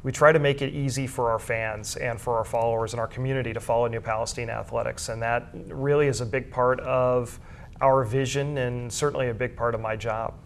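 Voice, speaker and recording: A man's voice: medium pitch, steady tone, brisk, vocal fry, slight background noise. A middle-aged man with an American accent, giving earnest, thorough explanations as an interview guest. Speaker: guest, school sports information director and journalism teacher.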